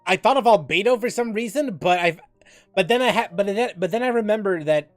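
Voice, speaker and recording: A woman gives casual gameplay commentary with big swings in pitch. She is reactive and swears freely, on a dry close recording with game music behind her. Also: animated, brisk, dry recording. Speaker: gaming streamer.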